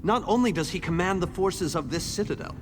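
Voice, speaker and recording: An actor voicing a pompous, excitable mage commander in a fantasy video game, with grandiose, formal diction. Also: male voice, high voice, fairly quick, background noise, natural voice. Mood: urgent, scholarly. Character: excitable pompous male mage commander rallying his allies.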